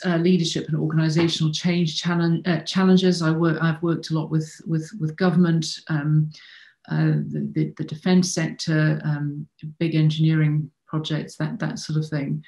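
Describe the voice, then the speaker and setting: A woman's voice: low voice, vocal fry, darkish tone. A woman in her fifties from South Africa giving an online conference talk from home over a webcam, hesitant with many fillers and repeated words. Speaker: conference speaker. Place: home room with shelves. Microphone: video call webcam. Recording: echoey room sound.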